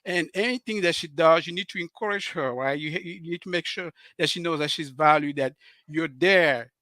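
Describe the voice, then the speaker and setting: Halting middle-aged man, Cameroonian French accent. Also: on the high side, pushed and bright, no room sound, clean studio sound. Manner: earnest, halting with many fillers and restarts. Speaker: author and guest. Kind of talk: live show interview.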